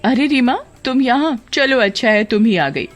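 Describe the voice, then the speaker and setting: An excitable young wife, played by a woman storyteller, stubborn and pleading in a cartoon family drama. Her voice lifts high above an everyday pitch, with music underneath. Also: moderate pace, close to an everyday voice. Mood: insistent.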